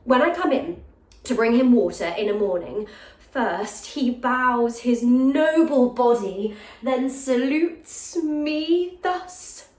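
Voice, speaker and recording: Woman acting lovestruck young maid, high and emotional. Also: animated, slow, a little mellow. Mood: smitten, yearning. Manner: emotional, exclaiming, with gasps and sighs. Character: lovestruck young jailer's daughter, breathless, impulsive. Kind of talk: classical stage monologue, Shakespearean acting. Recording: echoey room.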